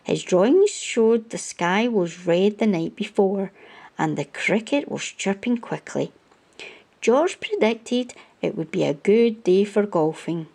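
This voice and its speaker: A woman hosts a storytime, reading a picture book to children. Her voice is soft-edged and mellow at a medium pitch. She moves slowly through the sentences, with lively rises and falls in her storyteller phrasing. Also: vocal fry.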